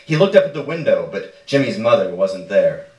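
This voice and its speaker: A man's voice: on the low side, sing-song, normal speed, a little mellow. A man reading a literary short story aloud at a live stage event. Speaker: story reader. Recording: echoey room, distant microphone.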